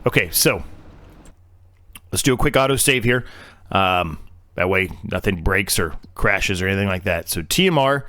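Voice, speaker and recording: A man's voice: low-pitched, lively intonation, moderate pace, long pauses, bright, forceful tone, dry recording, clean studio sound. A man losing his voice, giving casual, rambling commentary on a farming game with lots of fillers and restarts. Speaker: game streamer.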